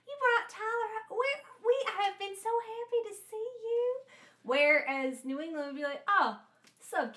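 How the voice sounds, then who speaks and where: A young woman hosting a podcast, telling stories in a lively way and acting out voices and sounds as she talks. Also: very high voice. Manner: animated storyteller, acts out voices and sounds. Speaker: podcast host and actor. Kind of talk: casual chat. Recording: echoey room.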